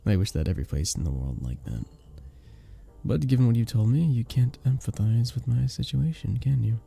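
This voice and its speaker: A man voicing a sweet, earnest vampire bartender in a romantic ASMR roleplay, soft-spoken and flirtatious, with hesitant little chuckles. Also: mid-range voice, expressive, normal speed, gravelly, husky. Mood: earnest, hopeful. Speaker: audio roleplay performer. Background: soft background music.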